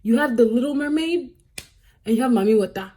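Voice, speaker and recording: A woman's voice: fairly high pitch, lively intonation, a little fast, warm tone. A woman from Cameroon, a vlogger casually telling a story in a chatty, rambling way, often correcting herself and laughing.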